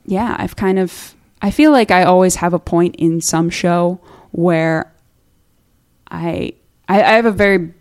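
A woman's voice: on the low side, unhurried, a little mellow, smooth. A young woman from Minnesota, a singer-songwriter chatting casually as a studio interview guest, restarting sentences and laughing at herself. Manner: chatty, restarts often, self-deprecating laughs.

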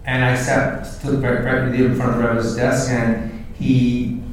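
A man whose first language is Hebrew tells his story hesitantly, restarting sentences as he goes. His voice sits at an ordinary middle pitch. It sounds far from the mic in a very echoey room, with faint noise underneath. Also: level tone, slow. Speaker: co-host and Chabad emissary. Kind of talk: personal storytelling in a live interview. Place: live recording before an audience.

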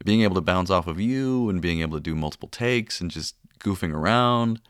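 A man hosting a relaxed, conversational interview, recorded on a close mic in a small closet space. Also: low-pitched, brisk, no room sound. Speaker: podcast host and audio performer. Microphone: close studio-style mic in a closet.

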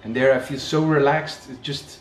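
A man from Belgium chatting casually, reflective and relaxed, with "you know" in nearly every sentence. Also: mid-range voice, normal speed. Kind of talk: casual conversation. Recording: echoey room with faint background noise.

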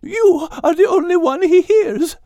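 A man's voice: very high-pitched, a little slow, gravelly, mellow, no room sound, voice pushed up, full character voice. A man voicing an urgent, pleading female horse with an Italian accent in an audiobook. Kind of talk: audiobook character acting.

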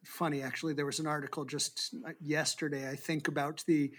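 Boston guy hosting an interview, kinda higher voice, long rambly questions. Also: level tone, fairly quick, a bit bright. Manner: chatty, long winding questions. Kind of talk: casual interview chat.

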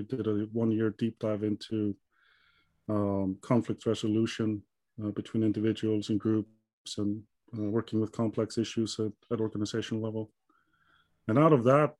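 A man's voice: lowish voice, mellow, no echo. A man from Iceland in his thirties, answering interview questions in a hesitant way, with many ums and restarts. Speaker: guest.

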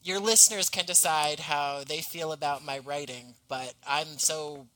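A man's voice: fairly high pitch, unhurried, bright, forceful tone, low-quality recording. A man speaking as a podcast guest in a conversational interview, earnest and effusive in manner. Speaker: podcast guest, audio drama writer and actor.

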